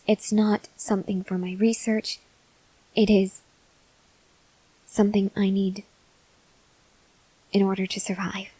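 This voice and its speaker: A woman playing an earnest, desperate female vampire scientist in an ASMR roleplay, speaking haltingly in a breathy voice that drifts into whispers. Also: mid-range voice, mellow, rough recording. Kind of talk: ASMR roleplay, slow dramatic monologue to the listener.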